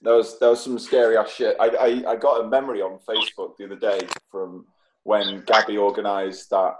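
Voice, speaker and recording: A man's voice: lowish voice, flat delivery, a little fast, background noise. A British man in his thirties chatting casually in a rambling, sweary way. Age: 30s. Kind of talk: casual chat.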